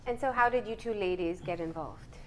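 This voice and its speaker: A woman's voice: mid-range voice, fairly quick, rough recording. Woman interviewer over noticeable noise. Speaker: TV interview host. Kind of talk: conversational studio interview.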